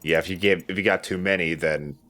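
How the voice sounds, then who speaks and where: A man's voice: deep voice, fairly even intonation, fairly quick, a bit bright. A man giving casual, informal Let's Play commentary while playing a video game.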